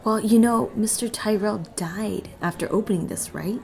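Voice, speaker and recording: A woman's voice: mid-range voice, normal speed, creaky, a little mellow, lightly acted. A woman playing an earnest, uneasy young college student drawn to the occult, acting and narrating in an audio drama.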